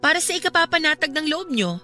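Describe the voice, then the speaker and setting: A woman's voice: sits high, sing-song, fast, sharp tone, faint music behind, exaggerated voice. An actress with a Filipino accent playing a polite but persistent young woman in a radio drama, deferential yet pointed. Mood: pointed, innocent.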